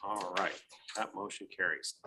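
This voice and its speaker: A man with a Midwestern American accent chairing a city council meeting, moving briskly through agenda items. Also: deep voice, steady tone, sharp tone, slight background noise. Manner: brisk, procedural.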